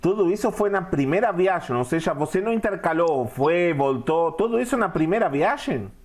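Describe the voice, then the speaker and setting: A man's voice: on the high side, expressive, moderate pace, darkish tone. A man hosting a casual interview, asking eager questions and offering brief encouraging replies. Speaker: interview host.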